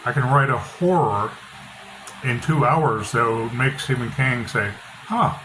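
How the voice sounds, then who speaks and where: A middle-aged author talking casually with a loud, bass-heavy voice, blunt and opinionated, often repeating himself. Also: male voice, measured pace. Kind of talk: casual monologue. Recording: noisy background hiss.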